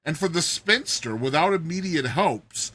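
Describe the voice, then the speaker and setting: A man performs dramatized audiobook narration in a bright, forceful voice with a rough, husky edge. His pitch swings widely as he leans into the storytelling with theatrical emphasis. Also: lively intonation, a little slow, no echo.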